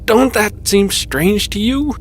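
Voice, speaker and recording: An actor playing a wary older male survivor in an audio drama, gruff and folksy. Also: high-pitched, slow pace. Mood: insistent, suspicious. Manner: folksy, plain-spoken. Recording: clear background noise.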